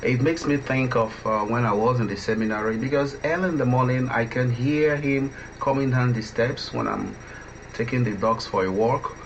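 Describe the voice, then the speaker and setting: Male radio interviewer, mid-pitched, halting conversational delivery, slightly noisy dull audio. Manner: hesitant, halting, repeats words. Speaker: interviewer, radio drama producer. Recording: dull lo-fi audio with faint noise.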